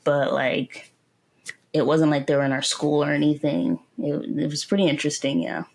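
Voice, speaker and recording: A woman's voice: low voice, little pitch movement, normal speed, darkish tone. A woman hosting a podcast, chatting in a laid-back, agreeable way and telling stories with quoted dialogue. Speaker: podcast host. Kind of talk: casual conversation.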